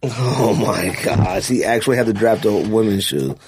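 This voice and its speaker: A man co-hosting a podcast, teasing his friends in loose banter and laughing loudly. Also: moderate pace, noisy background. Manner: teasing, laughs loudly, lively swings in pitch. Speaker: podcast co-host. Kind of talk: casual banter.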